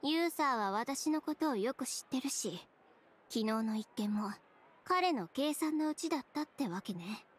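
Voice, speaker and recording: A woman's voice: high voice, animated, moderate pace, slight background noise. An actress playing a proud, headstrong young female fashion designer in a game, speaking in a curt, composed, firm way. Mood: cool, composed. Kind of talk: Japanese game voice acting.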